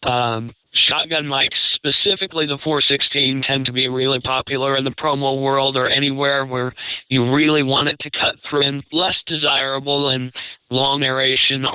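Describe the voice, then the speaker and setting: Dull, lo-fi sound with no room echo carries a man's mid-pitched voice. He talks as an expert panelist, casual but measured. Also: level tone, clear, resonant tone. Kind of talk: casual advisory talk. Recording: dull low-fidelity radio-like sound.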